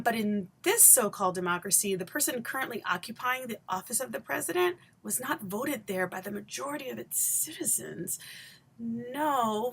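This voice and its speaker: A woman's voice: a little high, pushed and bright. Emphatic woman vlogger from Haiti. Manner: measured, emphatic, pointed delivery with pauses. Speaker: vlogger and education scholar. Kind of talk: scripted personal essay to camera.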